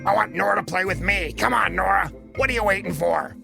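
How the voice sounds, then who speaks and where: A jealous, bullying boy toy truck from a children's storybook, acted out by a woman. The voice is heavily gravelly and creaky, pushing and demanding with every phrase. Music plays clearly behind it. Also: medium pitch, brisk. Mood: bossy. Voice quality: gravelly, growly. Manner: pushy, demanding. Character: mean, jealous, bossy male toy truck.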